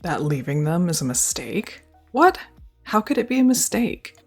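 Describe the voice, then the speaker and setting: A woman's voice: lowish voice, very animated, moderate pace, slightly rough, faint music behind. A young woman performing a scripted girlfriend roleplay, speaking close to the mic in an affectionate, teasing and reassuring way.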